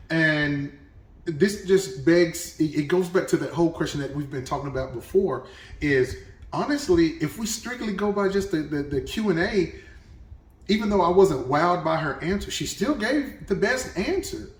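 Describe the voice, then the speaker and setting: A man's voice: on the high side, animated, normal speed, roomy sound, recorded from a distance, rough recording. A man hosting a reaction video, talking casually and thinking aloud with restarts.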